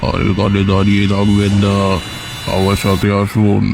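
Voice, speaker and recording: Man voicing a creaky, heavy-voiced mysterious stranger. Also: fairly low pitch, monotone, moderate pace, music in the background, pitched below the performer's own voice. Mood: eerie, urging. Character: mysterious deep-voiced male stranger in a ghost story. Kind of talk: cartoon ghost story dialogue.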